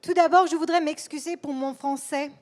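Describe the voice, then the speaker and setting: A woman with a Swedish accent, speaking in a second language and giving a political speech at a rally, careful and deliberate, repeating words as she goes. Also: sits high, fairly even intonation, darkish tone, slight background noise. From Sweden. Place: rally hall.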